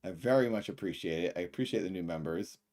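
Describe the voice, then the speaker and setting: A man's voice: deep voice, fast, darkish tone. A middle-aged man with a lisp, rambling through a livestream reaction with laughing asides. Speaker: livestream host.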